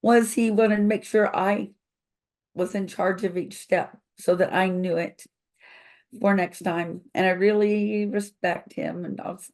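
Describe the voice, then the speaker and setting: An older woman, a company founder, speaking slowly and thoughtfully over a video call with a froggy voice from recent illness, pausing often with fillers. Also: medium pitch, steady tone, darkish tone. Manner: slow, thoughtful, many pauses and fillers. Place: home office with bookshelves.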